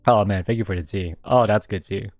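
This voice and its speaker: A young man streaming a casual radio-style chat, reading out listener submissions, laughing often and reacting in an animated way. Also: a little fast, no room sound, studio quality, soft background music. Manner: animated, laughs often, jumps high when excited.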